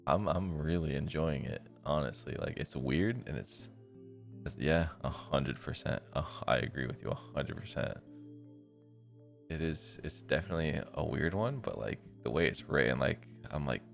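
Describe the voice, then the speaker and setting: A man live streaming, reading a visual novel aloud in a deep, gravelly voice, with casual, slangy commentary. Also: normal speed. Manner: slangy, informal asides. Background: music.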